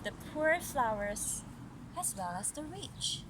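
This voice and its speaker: A woman reads a story aloud as a mother to a young child, adding gentle conversational asides. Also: high voice, expressive, slow pace. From Malaysia. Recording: noisy recording with background sounds. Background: ambient noise, young child nearby.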